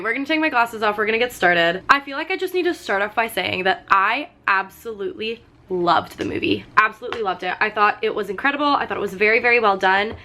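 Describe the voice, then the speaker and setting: Chatty young woman, slightly hoarse. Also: fairly high pitch, sharp tone. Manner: chatty, full of "like" and "um". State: slightly hoarse, getting sick. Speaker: vlogger. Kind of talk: casual chat while doing makeup. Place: sitting on a fur rug at home.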